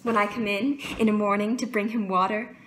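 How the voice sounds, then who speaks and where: A woman's voice: medium pitch, steady tone, a little fast, fairly bright tone, natural voice. A woman playing a lovesick, impulsive young jailer's daughter in a theatrical, emphatic Shakespearean stage monologue. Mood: smitten, yearning. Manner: theatrical, emphatic, with dramatic swells. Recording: roomy echo with faint background noise.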